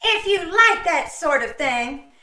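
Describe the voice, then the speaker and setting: A woman's voice: very high-pitched, lively intonation, slow pace, distant mic, exaggerated voice. A woman playing a patient, dryly teasing, homey wife in old-time radio comedy style. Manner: homey, gently chiding. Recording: roomy online call, off mic.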